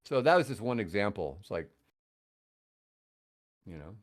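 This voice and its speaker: A middle-aged or older man in casual conversation as a podcast guest, reflective and rambling, often pausing mid-thought. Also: on the low side, very animated. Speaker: podcast guest, radio host. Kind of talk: casual chat.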